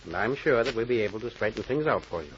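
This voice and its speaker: An actor playing a firm, patient male schoolteacher in an old radio drama, speaking with measured authority. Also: fairly low pitch, expressive, close to an everyday voice. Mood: firm, calm. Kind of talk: 1940s radio acting. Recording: muffled, noisy old radio recording.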